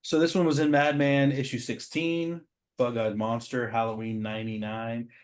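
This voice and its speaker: A man's voice: medium pitch, flat delivery, moderate pace. A man hosting a show, chatting casually and admiringly as he comments on comic art. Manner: admiring, informal, says "man" often. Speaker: show host.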